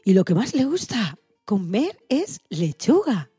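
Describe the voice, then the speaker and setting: Soft, husky woman telling children's stories. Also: medium pitch, big pitch swings, unhurried, no echo, soft background music. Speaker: co-host of an animal-stories programme for all ages. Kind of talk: scripted storytelling narration for children.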